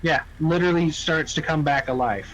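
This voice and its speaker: A man with a slightly high-pitched voice argues his point in a casual roleplay game chat. He is emphatic and repeats himself, with profanity thrown in. There is faint noise on the recording. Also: a little fast, fairly bright tone, rough recording. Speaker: roleplay gamer, faction member.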